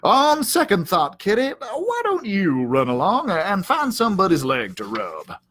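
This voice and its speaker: A man's voice: high voice, wildly swooping pitch, measured pace, fairly bright tone, pitched above the performer's own voice, heavily acted. A man voicing a boastful, folksy ranch dog who narrates his own storybook adventures in a self-important, theatrical way. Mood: dry. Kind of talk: first-person storybook narration.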